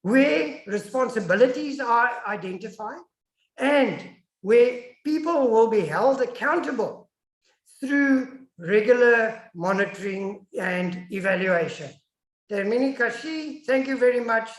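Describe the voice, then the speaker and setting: High-voiced South African woman, echoey room. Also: animated, measured pace. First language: Afrikaans. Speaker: guest lecturer and academic dean. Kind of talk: webinar lecture with audience questions. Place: indoors, online video call. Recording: echoey room on online call.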